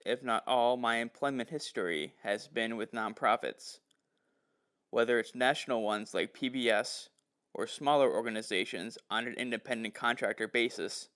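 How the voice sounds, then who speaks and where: A man delivers scripted review narration as the host of the show. His voice sits at a middle pitch. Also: fairly bright tone.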